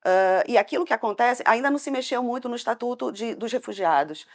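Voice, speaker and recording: A woman's voice: a little high, fast talker. A woman with a Brazilian Portuguese accent, speaking as a debate panelist in an impassioned, hesitant way.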